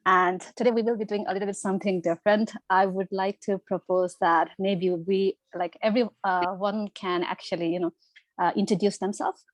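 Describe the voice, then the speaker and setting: A woman's voice: mid-range voice, fairly even intonation, a little fast, no echo. A young woman from Nepal moderating an online youth talk show, polite and a little hesitant with fillers. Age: young adult. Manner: polite, uses fillers like "you know" and "like". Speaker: moderator and host. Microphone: video call.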